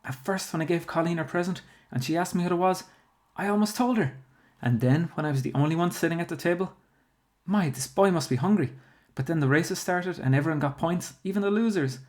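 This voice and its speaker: A man reading a storybook, voicing an excitable young schoolboy who is proud and chatty. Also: a little high, fairly quick, pitched above the performer's own voice, lightly acted. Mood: excited, eager.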